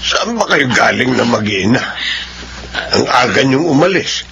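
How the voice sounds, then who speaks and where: Grumpy old dad, gravelly, pitch jumps all over, questioning everything, radio drama. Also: male voice, sing-song, a little slow. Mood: suspicious. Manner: questioning, grumbling. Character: suspicious, grumbling older father of grown children. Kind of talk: Filipino radio drama acting. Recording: noisy, slightly dull radio drama recording.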